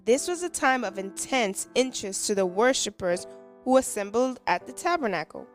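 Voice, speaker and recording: High-pitched woman reading a devotional. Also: lively intonation, moderate pace, faint music behind. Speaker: devotional reader and hymn singer.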